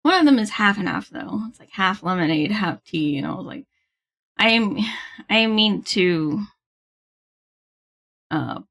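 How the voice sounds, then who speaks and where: A woman livestreamer chatting casually with viewers in a bubbly, giggly manner, with a slightly husky voice. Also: medium pitch, expressive, measured pace, long pauses, fairly bright tone. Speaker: livestreamer hosting a gaming stream. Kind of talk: casual stream chat with viewers.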